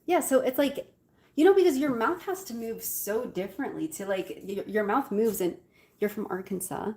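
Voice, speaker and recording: A woman's voice: a little high, expressive, moderate pace, a little mellow. A woman with an Algerian Arabic accent and Arabic as her first language, chatting casually while she bakes in her home kitchen, with lots of fillers and giggles. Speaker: cooking vlogger. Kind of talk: casual chat while baking.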